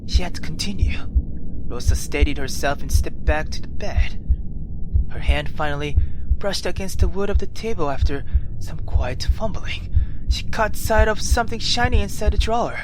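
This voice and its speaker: A woman game streamer who reads story text dramatically and thinks out loud in casual commentary, swearing freely. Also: lowish voice, expressive, moderate pace, background noise. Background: game music.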